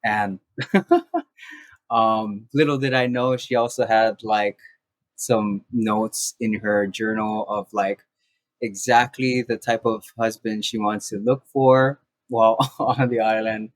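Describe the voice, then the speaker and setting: A young man from Maui, a house church pastor, sharing his story in a soft-spoken, halting way with many restarts. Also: fairly low pitch, fairly even intonation, dry recording. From Maui, Hawaii. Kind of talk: casual podcast conversation.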